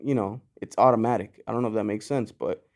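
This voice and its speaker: Brief: male podcast guest, born in Mexico, lowish pitch, easygoing and rambling, many restarts. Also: steady tone, quick pace, darkish tone. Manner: loose and rambling, lots of "you know" and "like", frequent restarts. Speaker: guest, clothing brand founder. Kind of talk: casual podcast conversation.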